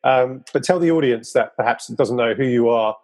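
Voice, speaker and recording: A man's voice: medium pitch, normal speed, darkish tone. A man hosting a podcast, presenting and interviewing. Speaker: podcast host.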